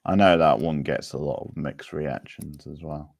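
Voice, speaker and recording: A man's voice: rumbling low, steady tone, moderate pace, creaky, dry recording, clean studio sound. A man hosting a livestream quiz, chatting casually with viewers in a laid-back, conversational way. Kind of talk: casual live chat with viewers.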